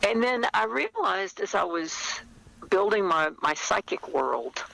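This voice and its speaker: An older woman talking conversationally as an interview guest, explaining her work as a writer in a thoughtful, halting manner. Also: mid-range voice, lively intonation, gravelly, sharp tone, low-quality recording.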